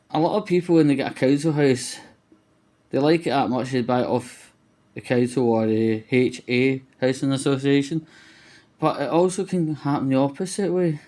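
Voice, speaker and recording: A man's voice: mid-range voice, darkish tone. A man giving a casual, opinionated monologue as a vlogger, rambling and colloquial, with some mild swearing.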